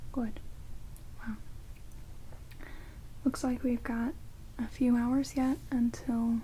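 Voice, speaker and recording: A woman's voice: fairly high pitch, low-quality recording. Woman playing a whispering, sardonic vampire woman. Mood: coaxing, playful. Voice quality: soft whisper, breathy. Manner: teasing, dry, casually chatty. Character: sardonic immortal female vampire, rude yet playful caretaker. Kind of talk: ASMR roleplay. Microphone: close binaural microphones. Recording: steady rain noise on binaural recording. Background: heavy rain.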